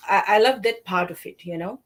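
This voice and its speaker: A woman's voice: mid-range voice, a little fast. A woman from Fiji, a guest talking in a casual live interview over a video call, friendly and rambling, laughing often. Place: home in Sydney, afternoon.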